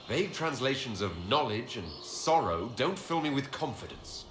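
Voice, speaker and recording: A man's voice: medium pitch, very animated, moderate pace, a bit bright, slight background noise. An actor playing an earnest, skeptical male hero in a video game, direct and dryly wry. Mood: questioning, dry. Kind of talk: video game dialogue acting. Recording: echoey game audio under music.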